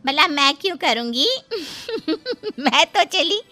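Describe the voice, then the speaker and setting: A woman's voice: sits high, very animated, slow, sharp tone, exaggerated voice. A woman voicing a sly, mocking gossip. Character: cunning gossiping older woman friend. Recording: faint background music.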